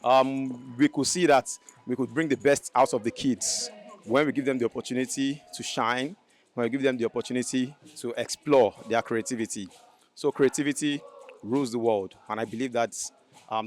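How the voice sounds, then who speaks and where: Earnest Nigerian man, medium-pitched voice. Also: fairly even intonation, brisk. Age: adult. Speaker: music teacher. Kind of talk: congratulatory interview remarks.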